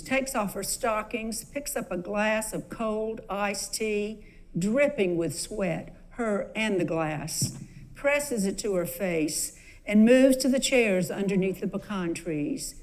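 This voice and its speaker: A woman giving a deliberate, literary dramatic reading as a narrator of her own play. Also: medium pitch.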